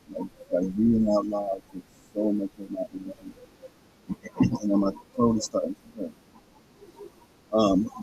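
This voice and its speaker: Halting, hoarse man with a creaky voice. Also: fairly low pitch, a little mellow, a little hiss or hum, rough recording. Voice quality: raspy. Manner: halting, stumbles over words, frequent restarts. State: hoarse, throat hurting. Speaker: host reading a book aloud. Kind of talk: casual reading with commentary.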